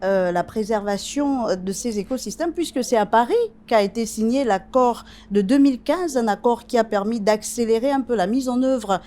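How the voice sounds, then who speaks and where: A woman's voice: fairly high pitch, normal speed, hardly any pauses, mellow. A woman hosting a television interview, asking questions and prompting her guest with short acknowledgements. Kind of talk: broadcast interview.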